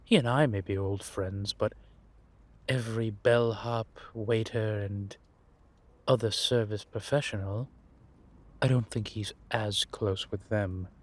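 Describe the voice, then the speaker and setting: A man playing a centuries-old vampire spymaster in a roleplay audio drama, patient and wry, speaking in measured phrases with deliberate pauses. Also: fairly low pitch, fairly even intonation. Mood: sly, explaining.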